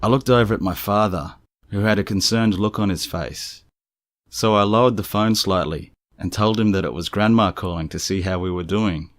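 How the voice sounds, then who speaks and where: A low-pitched male narrator tells eerie first-person accounts from a script. His delivery is measured and serious, and the recording is close and dry. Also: no room sound. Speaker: narrator of strange true stories.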